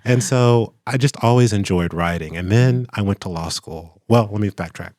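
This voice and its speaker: A man's voice: on the low side, normal speed, a little mellow, dry recording, studio quality. A middle-aged man, an author and attorney, talking candidly in an interview, reflective, with frequent fillers, restarts and laughs. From Kentucky, US. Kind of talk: casual interview conversation.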